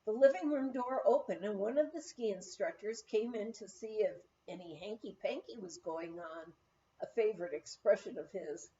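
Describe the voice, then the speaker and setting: A woman narrating a written memoir as an audiobook reader, with expressive storytelling. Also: mid-range voice, moderate pace, dull audio.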